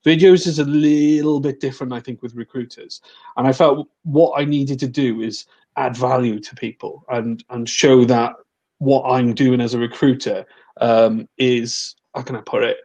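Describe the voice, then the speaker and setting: A man's voice: medium pitch, fairly even intonation, normal speed, a little mellow, dull audio. Chatty man with a faint Welsh accent. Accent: soft Welsh, mostly neutralised toward English. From Wales. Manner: blunt and chatty, mild swearing, frequent restarts. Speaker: guest, recruitment agency owner. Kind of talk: casual video call chat. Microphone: video call.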